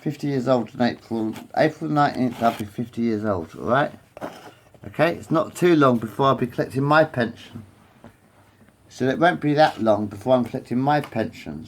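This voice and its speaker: A man's voice: mid-range voice, gravelly, slight background noise. A man in his late forties rambling to camera, repeating himself, swearing and wandering off topic. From England. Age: 40s. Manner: repetitive, swears often, digresses. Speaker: vlogger.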